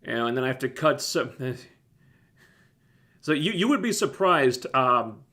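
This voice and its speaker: A man's voice: medium pitch, measured pace. Man with a scratchy, phlegmy throat. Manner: relaxed, rambling, self-interrupting. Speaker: livestream host reviewing TV shows. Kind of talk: casual livestream chat answering viewers.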